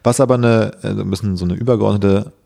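A young man, slightly hoarse, chatting casually as a podcast co-host and rambling with many fillers. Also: lowish voice, steady tone, fast talker, dark tone.

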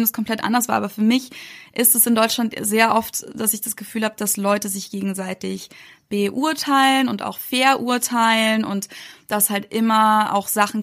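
A woman's voice: medium pitch, vocal fry, dry recording, clean studio sound. A young woman from Germany, a content creator, chatting casually in an interview with many fillers. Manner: chatty, many fillers, mimics others in quotes.

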